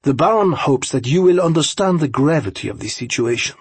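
A man's voice: a little high, expressive, fairly quick, slightly rough, no echo, voice pushed up. A man voicing an earnest young European aide who interprets for his master in a formal, polite way, in an audiobook story. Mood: calm, urgent.

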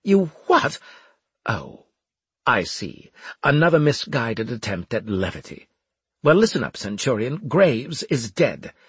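A man's voice: mid-range voice, very animated, takes it slowly, a bit bright, breathy edge, dry recording, exaggerated voice. A man voicing a haughty, irritable military officer for an audiobook, clipped, sarcastic and demanding. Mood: exasperated, sarcastic.

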